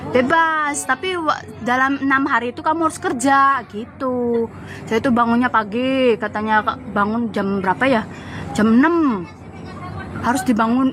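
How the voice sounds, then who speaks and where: A young Javanese woman recounting her years as a domestic worker abroad in a lively casual chat, laughing often. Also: high voice, a little hiss or hum. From Java, Indonesia. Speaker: former migrant domestic worker.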